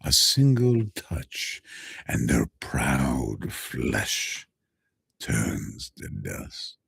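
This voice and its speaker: A man's voice: extremely low pitch, huge pitch swings, no room sound, exaggerated voice. Man doing a whispering ancient death spirit. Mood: gloating menace. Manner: slow, hushed, savoring menace with breathy whispers. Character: ancient male death-like entity scorning frail humans. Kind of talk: dark villain monologue.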